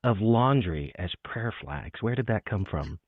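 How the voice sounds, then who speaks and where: Male poetry host, very low voice, thin phone-like audio. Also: expressive, measured pace. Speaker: interviewer and host. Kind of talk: literary chat and poetry reading. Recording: echoey, band-limited phone-like sound.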